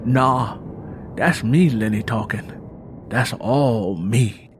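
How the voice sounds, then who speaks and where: A man's voice: mid-range voice, slow, slight background noise, natural voice. Audio drama role: male performer as brash, tipsy young man, defiant and reckless, mid-range voice. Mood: defiant, boastful. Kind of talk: audio drama character acting.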